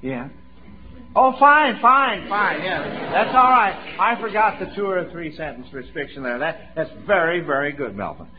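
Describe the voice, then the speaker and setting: A man's voice: fairly high pitch, very animated, measured pace, a crowd in the background. An American man hosting a 1940s radio quiz show, folksy and encouraging, with chuckles in his talk. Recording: dull vintage radio broadcast recording.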